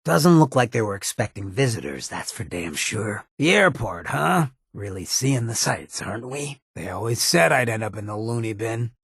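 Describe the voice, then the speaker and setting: A roguish ghoul mayor from a video game, with a heavily husky, shredded rasp. He talks in a sly, wry, streetwise drawl full of swagger. His lowish pitch swings around expressively from phrase to phrase. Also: very animated, normal speed, hardly any pauses, fairly bright tone, no room sound, full character voice. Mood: sardonic. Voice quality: raspy, gravelly. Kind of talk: video game character dialogue.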